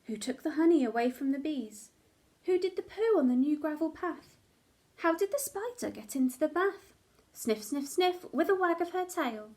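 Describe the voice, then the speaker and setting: High-voiced woman reading a children's storybook. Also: normal speed. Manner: animated, dramatic storytelling for young children. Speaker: teacher reading a children's picture book aloud. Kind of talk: expressive storybook narration.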